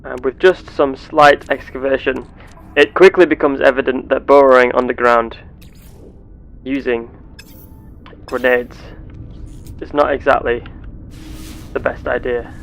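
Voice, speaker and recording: A man voicing a curious, earnest space explorer with measured, formal, theatrical phrasing, narrating a sci-fi game roleplay. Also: medium pitch, steady tone, gravelly, fairly bright tone. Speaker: gameplay host.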